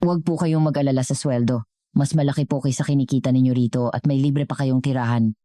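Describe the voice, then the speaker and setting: A woman's voice: rumbling low, fairly even intonation, fast, warm tone, no echo, clean studio sound. A woman voicing a wealthy, courteous, caring elderly grandfather in a story. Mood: earnest, reassuring.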